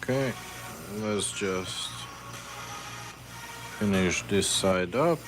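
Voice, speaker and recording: A man's voice: deep voice, drawn-out words, over music. A man from Hungary with a Hungarian accent, chatting casually on a livestream while painting fishing lures in a workshop, answering viewer questions between tasks. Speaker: lure maker.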